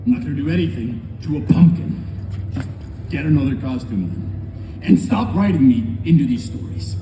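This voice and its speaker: A man playing a gruff, irritated, reluctant mutant hero with a slight Australian accent, curt in a live comic show. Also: medium pitch, lively intonation, moderate pace, warm tone, natural voice. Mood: grumpy refusal. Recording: distant echoey venue, faint noise.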